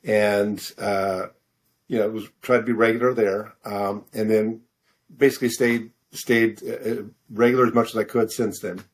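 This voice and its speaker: A man's voice: fairly low pitch, level tone, moderate pace. A middle-aged man speaking casually as an interview guest, hesitant and self-deprecating, often repeating words as he searches for the next one. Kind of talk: casual conversational storytelling.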